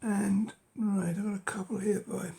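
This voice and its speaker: A middle-aged man with a cold, speaking hoarsely and haltingly in a casual show-and-tell of his books, often dropping to a whisper. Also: sits high, fairly even intonation, mellow. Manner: halting, many ums and uhs, drops to a whisper. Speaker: book collector vlogger.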